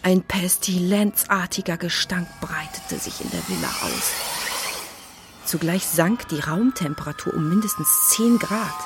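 A woman's voice: on the low side, sing-song, unhurried, music in the background. A woman narrating a scripted children's story in a dramatic, suspenseful way.

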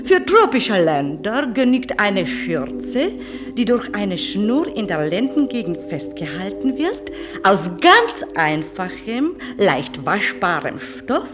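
An actress playing an earnest, prim society lady issuing a formal, instructive charity appeal in a radio play. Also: mid-range voice.

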